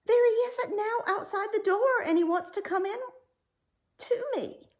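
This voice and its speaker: A woman's voice: very high-pitched, normal speed, pitched above the performer's own voice. A woman voicing a young princess in a storybook, emotional, plaintive and dramatic. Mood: pleading, upset. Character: young princess, king's daughter, emotional and pleading. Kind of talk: storybook read-aloud character voice.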